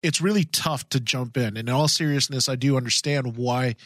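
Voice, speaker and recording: A man with a medium-pitched voice chats as a podcast co-host. He sounds earnest and agreeable, in a dry recording with very little room sound. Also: brisk, a bit bright, no echo. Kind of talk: casual fan podcast chat.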